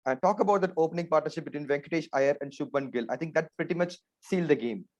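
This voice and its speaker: A man's voice: a little high, fast, darkish tone. A man with an Indian accent hosting a casual sports chat, conversational, often tossing tag questions to his co-panelists. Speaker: host of a cricket discussion show. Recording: dull, lo-fi band-limited sound.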